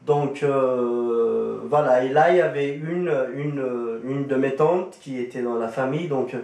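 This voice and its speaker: A man, a Kabyle speaker, talks through his testimony in a halting, casual way, pausing often on fillers. His voice sits at an ordinary middle pitch. It sounds roomy and echoey, picked up from some distance. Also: darkish tone. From Algeria. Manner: hesitant, many fillers and restarts. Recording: roomy echo, distant from the mic.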